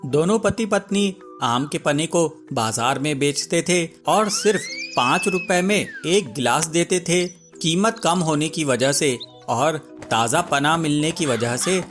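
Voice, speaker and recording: A man narrates a children's cartoon tale as a scripted storyteller. His voice sits a bit high for a man, and music plays softly behind him. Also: expressive, measured pace, hardly any pauses.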